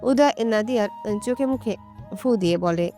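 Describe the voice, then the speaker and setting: A woman's voice: on the high side, moderate pace, dark tone, faint music behind. A woman storyteller reading a romantic story as scripted, dramatic narration.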